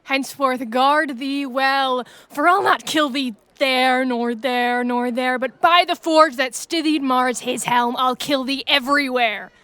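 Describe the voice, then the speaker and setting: A young woman plays a bold Trojan warrior in a Shakespeare audio drama. The voice is clearly high, with slow, measured declamation and a defiant, honourable bearing. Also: a bit bright. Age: young adult.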